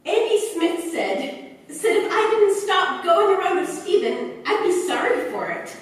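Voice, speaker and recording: A frightened, emotional young daughter acted by a woman in an old radio play. Her voice is extremely high and swings wildly, tearful throughout. She sounds far off in a very echoey hall. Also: big pitch swings, measured pace, exaggerated voice. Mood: tearful, upset. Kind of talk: staged 1940s radio play melodrama.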